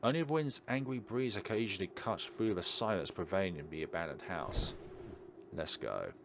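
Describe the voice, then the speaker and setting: Lively, low-voiced man narrating a game. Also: normal speed, creaky, noisy background. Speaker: let's player reading aloud. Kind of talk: expressive read-aloud narration of a visual novel. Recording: game music behind the voice.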